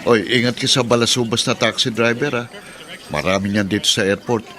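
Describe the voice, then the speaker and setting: A man's voice: medium pitch, steady tone, a little fast, fairly bright tone, close to an everyday voice. A man playing a brash, bawdy buddy in a radio drama, trading breezy, crude banter. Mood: breezy, joking. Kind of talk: Filipino radio drama acting. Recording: crowd bustle behind.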